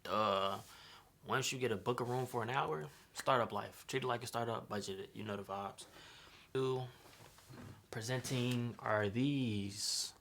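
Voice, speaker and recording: A man's voice: medium pitch, a little slow, vocal fry, a bit bright, slight background noise. A young man from Chicago talking casually about his custom sneaker, in laid-back slang that often ends with "right?". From Chicago, USA. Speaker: sneaker designer. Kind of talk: casual vlog explanation.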